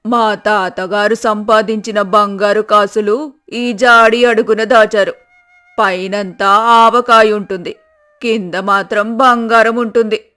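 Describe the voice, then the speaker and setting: A woman's voice: medium pitch, fairly even intonation, slow pace, a bit bright, faint music behind. A woman voicing a shrewd older village innkeeper in a folk tale, sly and persuasive. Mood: secretive. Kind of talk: dramatized folk tale acting.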